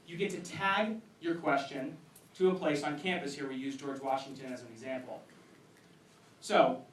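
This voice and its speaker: A man presenting a pitch to a live audience as a startup founder, in an emphatic stage delivery. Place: indoor event venue, speaking to a crowd. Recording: roomy echoing event hall, distant.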